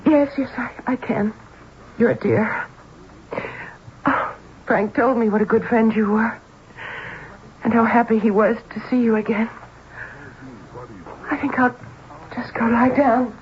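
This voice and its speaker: An actress playing a fragile, anxious well-to-do wife in a vintage radio mystery, faltering and hesitant in a melodramatic style. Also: female voice, a little high, steady tone, measured pace, long pauses, lightly acted. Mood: anxious, faint. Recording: muffled, hissy old radio broadcast.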